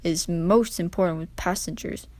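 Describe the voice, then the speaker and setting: A woman voicing a wise old male steam engine in a children's storybook, measured, earnest and gently reproving. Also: lowish voice, dry recording, pitched below the performer's own voice, lightly acted. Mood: earnest explaining.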